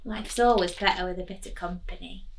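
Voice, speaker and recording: A woman teacher reading a children's storybook aloud in an expressive, sing-song way, putting coaxing questions to young listeners. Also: on the low side, fairly quick, noisy background.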